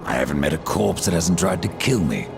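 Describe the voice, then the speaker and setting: An actor playing a grim, sardonic undead horseman in a video game, terse and commanding with a gravelly voice. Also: sits low, lively intonation, a little fast. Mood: sardonic, cold. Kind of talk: video game cutscene acting. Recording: loud music under the voice.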